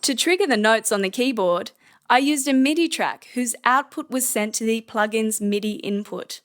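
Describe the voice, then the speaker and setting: A woman narrating a scripted software tutorial in a measured, explanatory manner. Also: a little high, faint music behind.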